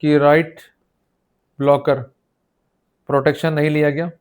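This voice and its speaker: A man's voice: on the high side, level tone, unhurried, clear, resonant tone. A man with an Indian accent teaching law in an explanatory, didactic lecture style. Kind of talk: explanatory legal lecture mixing Hindi and English.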